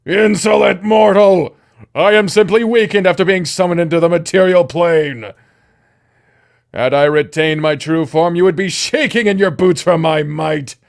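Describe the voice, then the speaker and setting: A man's voice: sits high, moderate pace, vocal fry, voice pushed up. A man doing a pompous little snake demon. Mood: indignant. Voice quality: haughty, dramatic.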